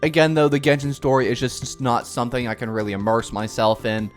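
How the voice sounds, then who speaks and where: A man of middle pitch talks casually on his gaming channel, reading a developer update and joking about himself. His manner is chatty and loose. Faint music plays under his voice. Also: fairly quick. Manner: self-deprecating, chatty, mock-excited cheers.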